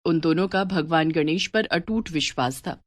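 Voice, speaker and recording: A woman's voice: low-pitched, normal speed, no room sound. A woman narrating a scripted story as its storyteller.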